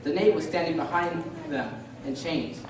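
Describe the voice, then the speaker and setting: A composed storybook narrator voiced by a woman reads in a measured radio-drama style. The room is extremely echoey and the voice is far from the mic, under clear noise. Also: low voice, natural voice. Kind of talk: scripted narration.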